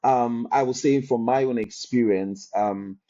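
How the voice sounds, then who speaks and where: A man speaking as a podcast guest over a video call, answering in a measured, earnest way. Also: medium pitch, dark tone, dull audio. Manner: measured and earnest, slow deliberate phrasing. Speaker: podcast guest, health policy researcher. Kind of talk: reflective conversational answers.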